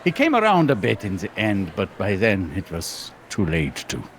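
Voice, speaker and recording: A man's voice: sits low, wildly swooping pitch, moderate pace, a little hiss or hum, exaggerated voice. An actor voicing a stern, proud, older immigrant father in a video game, with an emphatic, blustering manner. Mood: regretful.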